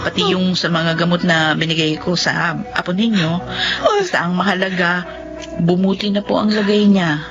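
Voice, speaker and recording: An actress playing a kind, calm Filipino doctor in a Tagalog radio drama, explaining gently and reassuringly. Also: female voice, fairly low pitch, normal speed, lightly acted. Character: kind, calm, generous female clinic doctor. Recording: dull lo-fi radio drama, music underneath.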